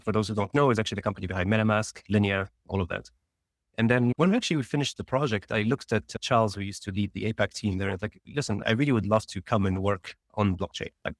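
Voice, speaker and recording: A man from Tunisia, a startup founder, telling his career story as a guest in a casual interview, rambling quickly with restarts. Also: on the low side, no echo.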